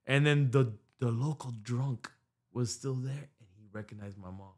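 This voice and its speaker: A man's voice: mid-range voice, normal speed, darkish tone. A middle-aged man hosting a podcast, telling a long story in casual chat, swearing freely and dropping Spanish words, into a studio mic. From United States. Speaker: podcast host. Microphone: studio mic on boom arm.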